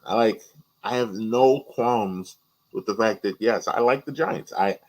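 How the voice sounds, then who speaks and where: A man from New York, a guest on a podcast in casual chat, animated and often repeating words as he talks. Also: fairly low pitch, measured pace, low-quality recording.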